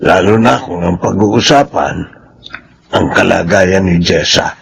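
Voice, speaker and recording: A man's voice: sits low, measured pace, vocal fry, breathy edge, exaggerated voice. An actor playing a timid, troubled older father in a Filipino radio drama, speaking hesitantly with a Filipino accent. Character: older father, timid and troubled about his pregnant daughter.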